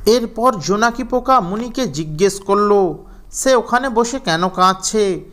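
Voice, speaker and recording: A man's voice: high-pitched, expressive, unhurried, pushed and bright. A man narrating a scripted children's fairy tale as storyteller.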